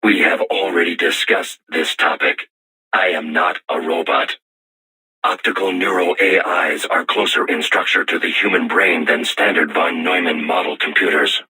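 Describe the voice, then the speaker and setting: A man voicing a solemn self-aware robot war machine in a video game, with formal, measured, stilted diction and robotic processing on the voice. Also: low-pitched, fairly even intonation, sharp tone, slightly rough, full character voice, low-quality recording. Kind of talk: scripted video game voice acting.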